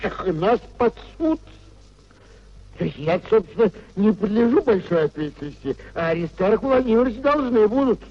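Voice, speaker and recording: High-voiced, sanctimonious old clerk. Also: male voice, very animated, normal speed. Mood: fretful. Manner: pompous, unctuous, sententious, lamenting. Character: sanctimonious, fretful old government clerk, self-pitying moralizer. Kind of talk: 1950s Soviet radio theatre acting. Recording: dull 1950s radio recording.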